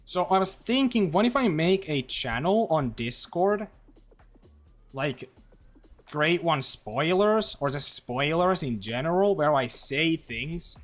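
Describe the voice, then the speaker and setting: A man chatting to his viewers while he plays, loose and rambling, full of "like" and "you know." His voice sits a little high and swings widely in pitch. Faint music plays behind him. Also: animated, takes it slowly. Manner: rambling, chatty, lots of fillers and restarts. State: throat getting dry. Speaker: live streamer. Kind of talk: casual livestream chat while gaming.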